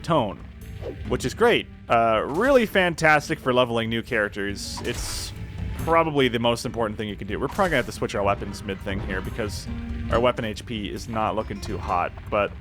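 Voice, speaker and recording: A man's voice: lowish voice, expressive, a little fast. Chatty man rambling over background music. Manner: chatty, rambling, self-deprecating. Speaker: gaming video host. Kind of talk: casual video game playthrough commentary.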